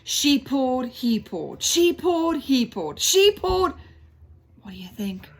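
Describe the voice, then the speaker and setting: A woman reading a children's book aloud in an animated storytelling style, pausing like a teacher to prompt listeners with questions and stressing key words. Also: sits high. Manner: instructive, prompting, emphatic repetition of key words.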